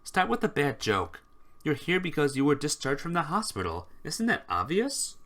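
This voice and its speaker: A woman's voice: low-pitched, huge pitch swings, moderate pace, fairly bright tone, heavily acted. A woman voicing a blunt, exasperated teenage schoolboy from a visual novel game with brusque, challenging delivery.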